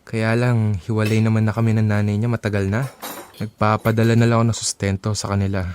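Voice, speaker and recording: An actor playing a nervous, evasive boyfriend in an audio drama, speaking hesitantly. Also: male voice, lowish voice, level tone, fast, a little mellow, slight background noise, natural voice.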